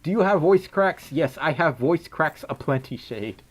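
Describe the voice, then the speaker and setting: A man's voice: a little high, animated, normal speed. A young man with a scratchy voice, chatting playfully on a livestream, giggling and humming while he reads chat messages. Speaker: streamer. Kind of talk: casual livestream chat reading donations.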